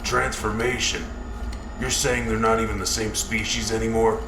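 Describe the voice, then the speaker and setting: Weary male war veteran played by an actor, grave sci-fi radio drama, gravelly voice, distant and echoey over loud music. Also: lowish voice, little pitch movement, moderate pace, background noise, natural voice. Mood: skeptical. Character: weary battle-worn male soldier narrating a war log. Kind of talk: dramatic sci-fi audio drama narration and dialogue.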